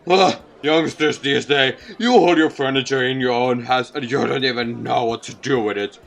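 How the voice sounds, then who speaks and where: A man's voice: mid-range voice, moderate pace, gravelly, fairly bright tone. Man doing a jaded, scraggly drifter. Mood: grumbling. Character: scraggly, grumbling, amused male drifter who writes books.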